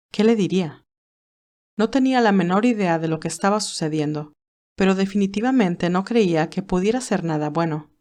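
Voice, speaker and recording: A woman narrates a scripted audiobook story. Her voice is of average pitch, and she reads prose passages as the storyteller. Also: darkish tone.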